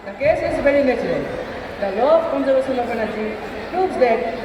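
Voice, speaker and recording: A woman's voice: high-pitched, lively intonation, fairly quick, lo-fi sound, crowd noise. A woman acting in an amateur stage play as a pedantic science teacher with a lecturing manner. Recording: echoey hall, far mic, noisy audience.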